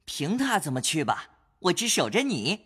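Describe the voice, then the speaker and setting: A man playing a lovestruck, teasing young nobleman of old China in an audio drama, speaking softly and coaxingly. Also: on the high side, wildly swooping pitch, normal speed, breathy edge, heavily acted. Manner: soft, coaxing. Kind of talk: audio drama acting of a classical novel. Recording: long hall reverb, noisy insect ambience.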